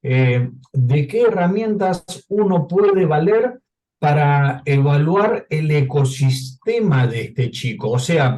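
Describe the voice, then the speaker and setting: Non-native man asking from the floor; polite, halting, stumbles and restarts. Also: mid-range voice, darkish tone. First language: not Spanish. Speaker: audience member asking a question. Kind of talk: conference question.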